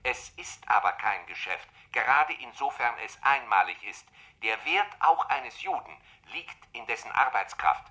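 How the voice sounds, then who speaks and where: A man's voice: mid-range voice, very animated, normal speed, few pauses, pushed and bright. An actor playing a cutting male SS major from an economic office in a German radio drama, sardonic, pedantic and pressing. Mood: pedantic quoting.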